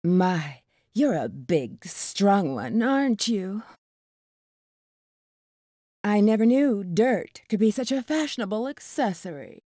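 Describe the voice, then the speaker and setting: A woman playing a greedy, sadistic mercenary villainess in a video game, mocking and teasing with drawn-out words. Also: medium pitch, very animated, mellow, no room sound, clean studio sound. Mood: flirtatious, mocking. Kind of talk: theatrical video game acting.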